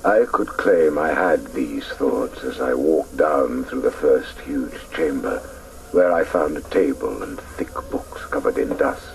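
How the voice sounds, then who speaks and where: A man's voice: rumbling low, expressive, measured pace, vocal fry, exaggerated voice. An actor playing a reflective man who recalls his childhood, narrating solemnly and hesitantly in a radio drama. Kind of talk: dramatic first-person narration. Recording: distant, faintly noisy radio recording.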